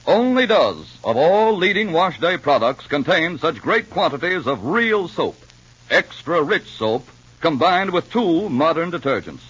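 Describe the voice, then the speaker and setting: A man delivering a scripted 1950s radio advertisement as a pitchman. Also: very animated, unhurried, a bit bright, husky. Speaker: commercial pitchman. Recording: dull vintage radio recording.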